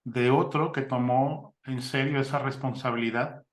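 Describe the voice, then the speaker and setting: A man with a mid-pitched voice hosting an interview. He affirms his guest often and talks with many fillers and restarts. The sound has an echoey room. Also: fairly even intonation, moderate pace. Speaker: interviewer, mission ministry host. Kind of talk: conversational interview. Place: Spain.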